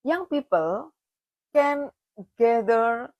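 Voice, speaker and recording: A woman from Indonesia who heads university partnerships, speaking in a formal, measured way. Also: a little high, animated, dry recording, dull audio. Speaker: university public relations head. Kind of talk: prepared talk.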